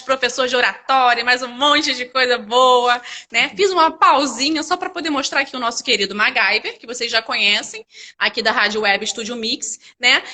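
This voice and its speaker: High, bright-voiced Brazilian woman hosting. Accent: Brazilian Portuguese. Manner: informal, chatty. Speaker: web radio interviewer and host. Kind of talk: casual live interview chat. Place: web radio studio.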